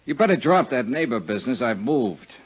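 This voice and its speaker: An actor playing a tough, wry American investigator in a 1950s radio noir, speaking in a clipped, sardonic way. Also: male voice, mid-range voice, sing-song, fairly quick, slightly rough. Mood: impatient. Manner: clipped, hard-boiled, sardonic. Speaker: lead detective and narrator. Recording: dull vintage 1950s radio recording.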